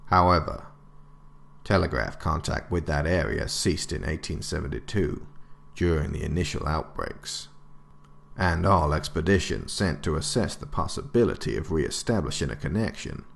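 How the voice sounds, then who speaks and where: An actor playing a stern, resolute government director in an audio drama, delivering a formal address with grave, deliberate authority. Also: male voice, very deep voice, lively intonation, vocal fry, heavily acted. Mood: sober, formal. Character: stern, resolute male government director writing a survival handbook. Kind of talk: scripted audio drama, formal oratorical narration.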